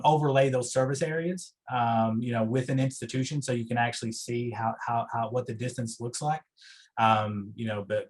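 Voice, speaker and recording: Man with an Eastern Kentucky accent, mid-pitched. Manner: halting, repeats words. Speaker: guest presenter. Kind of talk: informal meeting talk.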